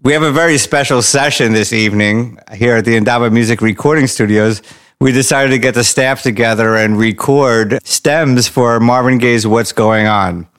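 A man's voice: mid-range voice, moderate pace, a bit bright. A man hosting a music podcast, speaking in a casual conversational way as a fellow musician. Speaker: podcast host and bass player. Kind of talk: casual podcast interview and introduction. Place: music recording studio.

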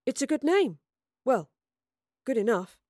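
A woman's voice: high-pitched, big pitch swings, a little slow, a little mellow, no room sound, clean studio sound, voice pushed up, exaggerated voice. A woman voicing a young male soldier in a story, perplexed, nervous and deferential, with a hesitant way of talking.

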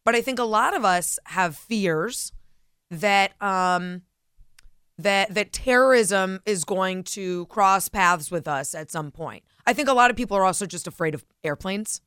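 Female radio co-host, smooth and resonant, dry close studio mic, chatty and conversational. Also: mid-range voice, fairly bright tone, no room sound. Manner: conversational, quick agreeing interjections. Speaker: radio talk show co-host. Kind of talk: casual talk radio discussion. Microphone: studio microphone.

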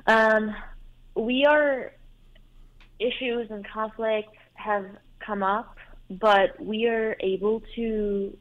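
A young adult woman whose first language is Farsi, calling in to an advice show and talking hesitantly with many fillers and restarts. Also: mid-range voice. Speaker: caller. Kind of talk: call-in advice talk. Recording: tinny phone line.

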